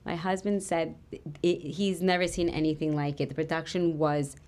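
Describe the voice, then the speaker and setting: A woman's voice: low-pitched, level tone, normal speed, a little mellow. A woman event planner talking casually as a podcast guest, explaining things with lots of fillers. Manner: conversational, explaining with many fillers.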